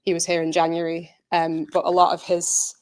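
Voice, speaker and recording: A woman presents informally in a slightly low voice. Her intonation stays very level, and she speaks in an earnest, hesitant way, like a producer talking through her project. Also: monotone, moderate pace, darkish tone, rough recording. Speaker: audio drama producer presenting.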